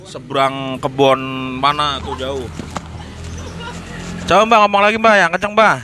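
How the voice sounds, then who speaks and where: A man's voice: fairly high pitch, a little slow, pushed and bright. A man hosting a casual product test, speaking in a hesitant, repetitive way. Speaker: vlog host. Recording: clearly noisy, vehicle ambience.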